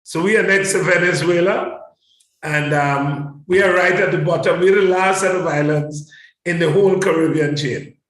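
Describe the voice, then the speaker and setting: A man's voice: fairly high pitch, fairly even intonation, normal speed, fairly bright tone. A man chatting casually and enthusiastically as an interview guest, repeating words for emphasis, in a very echoey recording. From Trinidad and Tobago. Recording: very echoey room sound.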